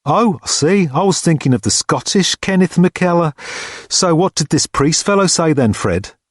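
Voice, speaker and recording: Man acting a deadpan college porter, raised pitch. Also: moderate pace, slightly rough, no echo. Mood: dry, sceptical. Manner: deadpan, dry, formal. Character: large, unflappable, sceptical male college porter. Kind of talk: audiobook character dialogue.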